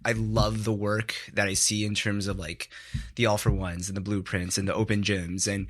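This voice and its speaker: A man talks on a studio podcast as a guest. His voice sits a little low but rings bright and forward. He meanders through his thoughts, stringing ideas together with frequent "like"s. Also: little pitch movement, moderate pace. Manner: rambling, heavy use of "like", reflective. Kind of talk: casual studio chat.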